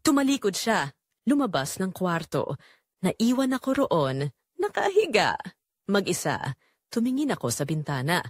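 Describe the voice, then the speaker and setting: Lively, brooding confessional storyteller voiced by a woman. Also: medium pitch, measured pace, pauses often, breathy edge, no echo, studio quality. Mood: torn, melancholy. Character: Jomar, brooding, guilt-torn man confessing a forbidden romance. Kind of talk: scripted first-person dramatic narration.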